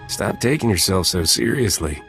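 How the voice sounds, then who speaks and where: An actor playing a roguish, flirtatious smuggler captain in a video game, cocky and easygoing. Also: male voice, low voice, lively intonation, moderate pace, gravelly, a bit bright, soft background music, full character voice. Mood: mocking.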